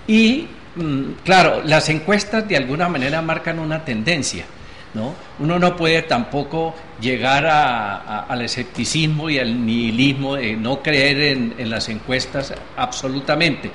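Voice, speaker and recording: Male pundit, measured and reflective, faint background noise. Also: medium pitch, a bit bright. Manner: measured, thoughtful, hesitant fillers. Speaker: panel guest, political commentator. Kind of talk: TV panel debate.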